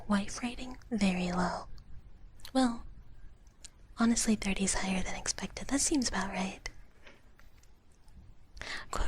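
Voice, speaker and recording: A woman speaking in a soft, breathy whisper close to the microphone, chatting casually and playfully as a livestream host and often repeating her phrases. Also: mid-range voice, a little hiss or hum. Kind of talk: live ASMR whispering, casual chat with viewers. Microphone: close ASMR microphone.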